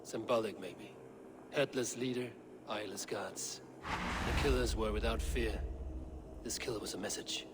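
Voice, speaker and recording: An actor playing a grave, observant warrior in a video game, reasoning out a murder in a measured, terse way. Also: male voice, lowish voice, fairly even intonation, very noisy, natural voice. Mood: grave, analytical. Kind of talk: dramatic video game acting.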